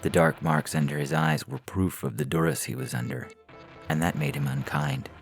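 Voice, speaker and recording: A man's voice: rumbling low, moderate pace, creaky. A man narrating a scripted science fiction story as a podcast reader, with music behind him. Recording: music under the voice.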